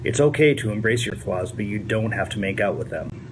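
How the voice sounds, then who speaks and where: A man speaking in a lowered, deadpan voice. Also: brisk, noisy background, natural voice.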